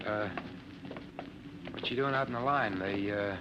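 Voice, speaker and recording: An actor playing a weary, subdued test pilot, speaking hesitantly in 1950s radio drama style. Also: male voice, lowish voice, unhurried, muffled recording, lightly acted. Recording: noisy vintage radio drama recording.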